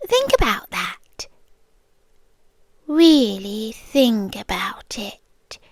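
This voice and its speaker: A woman's voice: a little high, big pitch swings, no echo, full character voice. A British woman playing a possessive yandere girlfriend in an intimate ASMR roleplay, speaking with coolly patient, condescending menace and dropping toward whispers. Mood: menacing, patient. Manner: slow, deliberate, dropping into whispers, condescending sweetness.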